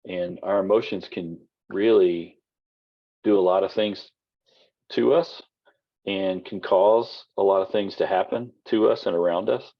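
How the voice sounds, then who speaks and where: A very low-voiced man with a husky, worn voice talks through a class lecture, loose and rambling with plenty of ums and restarts. The sound is dull and lo-fi, like a computer mic on a video call. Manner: casual, rambling, many fillers and restarts. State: losing his voice. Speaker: college instructor.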